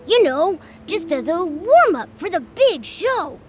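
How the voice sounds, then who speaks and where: An actress voicing a small, eager, playful companion creature in a video game, with a cutesy, enthusiastic delivery. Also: female voice, very high-pitched, very animated, measured pace, exaggerated voice. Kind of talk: video game cutscene acting.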